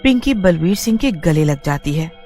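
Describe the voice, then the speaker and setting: A woman narrating a cartoon story in a smooth, expressive storytelling manner. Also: fairly low pitch, moderate pace. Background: faint music.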